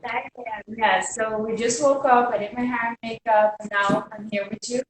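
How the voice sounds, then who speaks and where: Dutch-accented woman, twenties, friendly guest, slightly high voice with a faint background hum. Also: fairly even intonation, moderate pace. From Netherlands. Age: 20s. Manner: friendly, laughs easily. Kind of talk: casual video call chat. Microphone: video call. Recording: echoey far-off video call.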